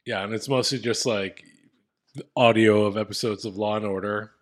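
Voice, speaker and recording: A man with a deep voice co-hosting a podcast, laid-back and reading out listener reviews. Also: steady tone, moderate pace. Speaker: podcast co-host. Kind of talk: casual comedic chat.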